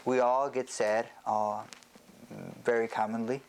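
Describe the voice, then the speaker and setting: Man giving a measured explanation, noisy recording. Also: medium pitch, level tone. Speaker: psychiatrist presenting an educational talk. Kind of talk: educational health presentation.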